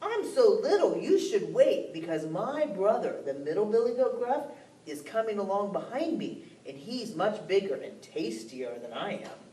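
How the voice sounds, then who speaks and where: A storyteller voicing the littlest billy goat in a spoken fairy tale, pleading in a high voice. It is heard in a roomy space from some way off the mic. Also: sing-song, normal speed, few pauses, darkish tone, distant mic, a little hiss or hum. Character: tiny timid billy goat.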